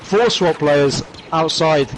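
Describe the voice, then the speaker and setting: A hoarse man calling a competitive shooter match play by play, his voice worn from shouting. He sits a little higher than most men and jumps into quick, eager bursts as the action heats up, with a faint hum of noise behind him. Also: low-quality recording. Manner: rapid excited calls with rising bursts. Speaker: esports commentator. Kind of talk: play-by-play commentary.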